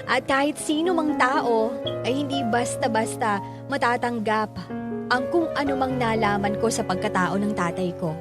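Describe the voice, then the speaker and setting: A man's voice: very high voice, normal speed. An actor with a Filipino accent playing a bitter, hurt son who narrates his own story in a radio drama. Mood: bitter, pained. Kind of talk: dramatic first-person narration. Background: music.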